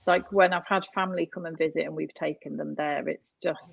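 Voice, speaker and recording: A woman with a low voice and a creaky, gravelly edge talks casually as a podcast guest. She is from North West England. The sound is close and dry. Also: steady tone, a little fast, no room sound, muffled recording. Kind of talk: casual interview chat.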